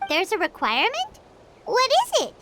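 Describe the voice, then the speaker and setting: A woman voicing a tiny, excitable, girlish fairy companion in a video game, eager and exclamatory. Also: very high voice, normal speed, a little hiss or hum, exaggerated voice. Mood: surprised, curious. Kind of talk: video game character acting.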